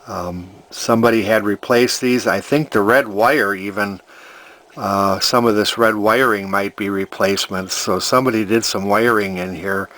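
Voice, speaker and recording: A man's voice: on the low side, steady tone, normal speed, a bit bright. A man narrating an electronics repair casually, thinking aloud in a hesitant, rambling way. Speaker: vintage electronics repair hobbyist.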